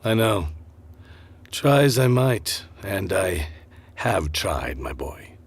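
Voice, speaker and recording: A man acting a villainous, unrepentant murderous stepfather in a student radio drama, husky and menacing, with a mocking theatrical delivery. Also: low voice, big pitch swings, takes it slowly, exaggerated voice. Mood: confessing, unrepentant.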